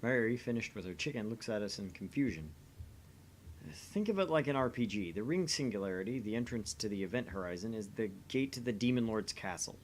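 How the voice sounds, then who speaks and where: A man's voice: lowish voice, lively intonation, fairly quick, slight background noise. A man doing an acted reading of dialogue and narration from a visual novel game. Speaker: voice reader in a visual novel playthrough.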